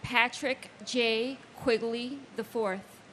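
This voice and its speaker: A woman reads names of victims aloud at a memorial ceremony in a solemn, deliberate way. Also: a little high, steady tone, fairly bright tone, slight background noise. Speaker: name reader. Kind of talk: memorial ceremony name reading.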